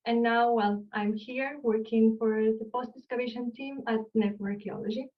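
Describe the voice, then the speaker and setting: A woman's voice: a little high, fairly even intonation, moderate pace, distant mic, lo-fi sound, soft background music. A woman from Barcelona with a Spanish accent, an archaeologist explaining her field in an online lecture and asking the audience questions. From Spain, Barcelona. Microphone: video call.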